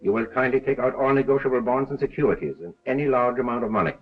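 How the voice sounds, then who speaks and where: Actor as a stern, muffled 1930s film villain. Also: male voice, medium pitch, close to an everyday voice. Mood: cool threat. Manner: stiff, formal, deliberate. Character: stern male foreign agent, coolly threatening. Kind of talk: 1930s film crime drama acting. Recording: muffled 1930s film soundtrack, faint music.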